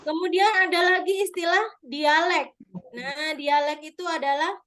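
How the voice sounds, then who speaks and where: A woman with a high, light voice leads an online review class. She explains terms step by step, patiently checking answers with her participants over a video call. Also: steady tone, moderate pace, a bit bright. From Madura. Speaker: teacher. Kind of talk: online exam review lesson.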